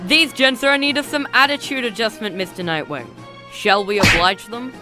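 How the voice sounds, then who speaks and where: A man's voice: sits high, moderate pace, over music, natural voice. An actor playing a cheeky, eager teenage boy sidekick in a comic dub, cocky in his delivery. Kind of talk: comic dub dramatic acting.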